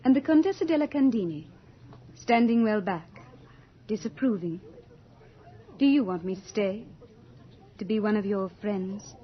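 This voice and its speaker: A woman's voice: mid-range voice, expressive, moderate pace, long pauses, slightly rough. An actress playing Death as a gracious, beautiful young lady in a radio horror drama, genteel, delicate and wistful. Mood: gracious, probing. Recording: dull 1980s radio recording, faint music.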